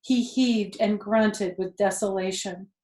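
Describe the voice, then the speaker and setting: An older woman reading a children's book aloud as a storyteller, with expressive narration. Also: mid-range voice, takes it slowly, roomy sound.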